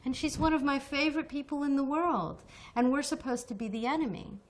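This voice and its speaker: A woman's voice: sits high, brisk. A woman from the United States answering interview questions in an earnest, passionate way, often restarting and repeating words as she builds her point. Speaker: interview guest, activist.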